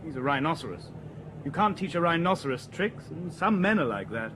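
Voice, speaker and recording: Complacent young man for radio drama: high, animated, noise behind. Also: fairly quick. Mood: assured. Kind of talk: BBC radio drama acting.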